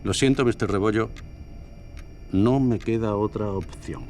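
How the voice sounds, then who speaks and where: Man acting as stern university dean, very low voice, formal, measured, grave, audio drama, faint noise behind. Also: darkish tone, natural voice. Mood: grave, firm.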